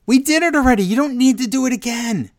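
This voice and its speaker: A man co-hosting a podcast, recapping wrestling shows in an animated, storytelling way and acting out what the wrestlers said. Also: very high-pitched, quick pace, crowd noise. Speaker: podcast co-host. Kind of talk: casual wrestling recap chat.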